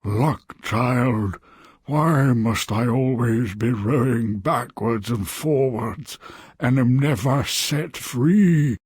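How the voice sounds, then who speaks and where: A man's voice: medium pitch, extremely slow, a little mellow, slightly rough, no room sound, studio quality. An actor voicing a weary, cheeky working-class ferryman with a Cockney English accent in a fairy tale game.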